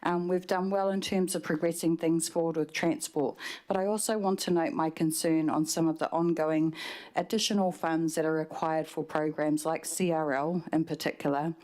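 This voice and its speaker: A woman's voice: low voice, steady tone, normal speed, darkish tone. A young woman councillor reading prepared remarks in a council chamber, mixing in Māori phrases. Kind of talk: formal council debate speech. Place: large council chamber indoors. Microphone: desk microphone.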